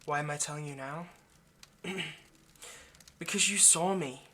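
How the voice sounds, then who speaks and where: A man voicing an ageless vampire lover in an intimate audio roleplay, possessive yet remorseful, speaking in a halting, earnest, pleading way. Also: on the high side, bright, forceful tone, slight background noise. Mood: ominous warning. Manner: halting, earnest, pleading, dropping to near-whisper.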